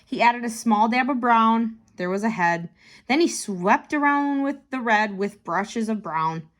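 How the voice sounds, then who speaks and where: A woman narrating a picture book for children, expressive and deliberate, lingering on key words. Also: fairly high pitch. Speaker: tutor reading a children's book aloud.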